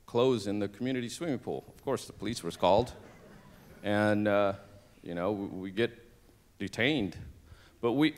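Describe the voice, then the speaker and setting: A middle-aged man from Cambodia, a native Cambodian speaker, telling his life story on a church stage into a handheld mic, halting with many fillers and restarts. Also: on the low side. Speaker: interview guest. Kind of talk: personal testimony on stage.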